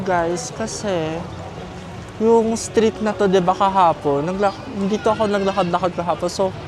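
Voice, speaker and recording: A Filipino man chatting casually and ramblingly as a travel vlogger, mixing in English, with a Filipino accent. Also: high-pitched. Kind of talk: casual vlog chat. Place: outdoors on busy city streets. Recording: noisy outdoor street, traffic and crowds. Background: street crowd, vehicles.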